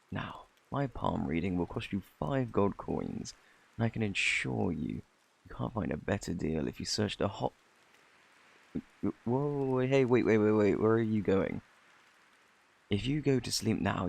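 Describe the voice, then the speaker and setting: A man with a British accent playing a flirty, eccentric fortune teller in a fantasy ASMR roleplay, with a theatrical, boastful, easily flustered manner and a husky, gravelly voice. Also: low voice, a little mellow, full character voice. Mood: coaxing, boastful, then flustered, pleading. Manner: theatrical, flustered, coaxing, old-fashioned phrasing. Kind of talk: ASMR roleplay, intimate scripted acting.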